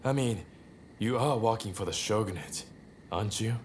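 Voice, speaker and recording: An actor voicing a cocky, nonchalant samurai rebel in a video game, sardonic and playfully teasing, with drawn-out delivery. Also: male voice, on the low side, noisy background, lightly acted. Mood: mocking, amused. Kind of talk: dramatic video game voice acting.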